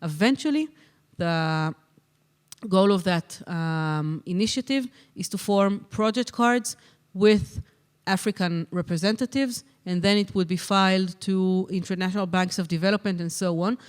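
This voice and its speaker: Convener at a conference, smooth clear tone, mid pitch, measured delivery with frequent filler pauses, speaking into a handheld mic in a hall. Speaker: session convener. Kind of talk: conference moderation and answers.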